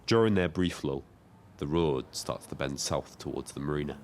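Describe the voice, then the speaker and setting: An actor playing a lonely, observant young British taxi driver, narrating in a measured, wry, literary way. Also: male voice, very deep voice. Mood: wry, reflective. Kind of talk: scripted first-person narration.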